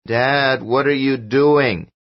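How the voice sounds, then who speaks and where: A man's voice: medium pitch, steady tone, slow pace, voice pushed up, close to an everyday voice. A man voicing a parasite spirit that pretends to be a small boy, pleading in a whiny, childlike way. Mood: falsely innocent. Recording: dull lo-fi audio.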